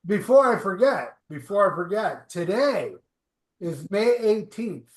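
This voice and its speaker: A man's voice: high-pitched, big pitch swings, measured pace, echoey room, a crowd in the background. A man of sixty co-hosting a casual rock podcast, with teasing, joking banter. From South Florida. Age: 60s. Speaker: podcast co-host. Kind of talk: casual chat.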